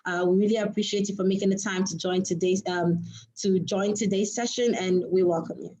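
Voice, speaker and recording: A woman's voice: mid-range voice, steady tone, a little fast, warm tone, rough recording. A young woman moderates an online session, introducing the guest speaker and correcting herself over a few words. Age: young adult. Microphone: video call.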